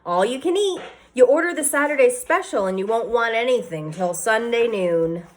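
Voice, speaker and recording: A woman's voice: a little high, expressive, normal speed, pitched above the performer's own voice, lightly acted. A woman voicing a friendly, cheerful woman who runs a small diner, in a storybook read-aloud.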